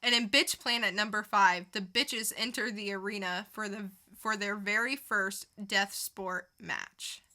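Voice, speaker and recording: A woman with a bright, crisp-edged voice at an average pitch. She speaks as a podcast co-host, casual and conversational as she goes through reviews. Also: steady tone, measured pace, hardly any pauses. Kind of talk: casual comic book review chat.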